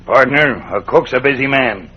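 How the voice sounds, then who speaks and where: An actor playing a gruff, terse, suspicious Western man with a husky, folksy drawl in a radio drama. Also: mid-range voice, normal speed, close to an everyday voice. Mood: curt, gruff. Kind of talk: old-time radio Western acting. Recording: muffled old radio broadcast.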